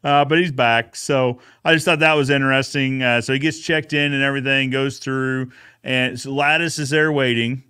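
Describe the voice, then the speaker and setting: A man's voice: medium pitch, fairly even intonation, normal speed, bright, forceful tone, clear, resonant tone. A man co-hosting a casual recap, retelling a story in an informal, conversational way. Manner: informal, retells characters' dialogue. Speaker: co-host recapping episode.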